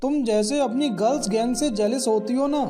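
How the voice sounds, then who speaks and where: A woman's voice: a little high, moderate pace, warm tone, soft background music, natural voice. A woman voicing a polite, easygoing young husband and son-in-law in a comic family story, with a placating manner. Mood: reassuring.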